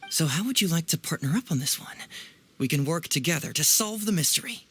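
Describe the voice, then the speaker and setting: A man's voice: a little high, animated, a little fast, fairly bright tone, a little hiss or hum. An actor playing a clever, playful young male detective in an anime video game, musing theatrically and teasingly. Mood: confident, playful.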